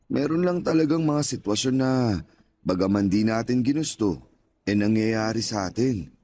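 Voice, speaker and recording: An actor playing a gentle, devout older male street vendor in a radio drama, humble and reflective. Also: on the low side, close to an everyday voice. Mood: gentle, earnest. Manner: humble, reflective, plain-spoken. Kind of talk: Tagalog radio drama acting.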